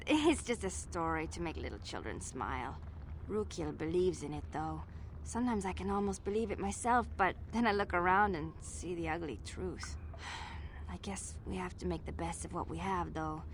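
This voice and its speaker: A woman's voice: on the low side, expressive, moderate pace, slight background noise, close to an everyday voice. A woman voicing a wistful, kindly young slum villager in a video game, speaking earnestly. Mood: resigned, wistful. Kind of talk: video game dialogue acting.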